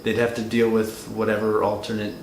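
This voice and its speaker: A man's voice: fairly low pitch, monotone, a little fast, vocal fry. Man chatting casually in an echoey room. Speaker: movie review co-host. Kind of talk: casual unscripted film discussion. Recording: roomy echo, light background noise.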